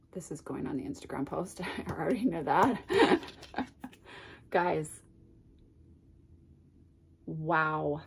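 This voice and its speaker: A woman's voice: deep voice, expressive, extremely slow, creaky, long pauses, slightly rough. A woman in her thirties with a stuffy, congested voice from a cold, chatting casually to camera as she unboxes a card deck at home, gushing and wandering into asides. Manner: gushing and chatty, drifting into asides and soft whispers. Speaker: tarot and oracle deck reviewer. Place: home room lined with bookshelves, indoors. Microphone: camera in front at desk distance.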